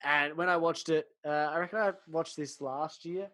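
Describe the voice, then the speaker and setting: A man's voice: fairly high pitch, normal speed, fairly bright tone. A man chatting casually as a podcast co-host, informal and blokey, with conversational asides. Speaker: podcast co-host discussing films. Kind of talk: casual chat.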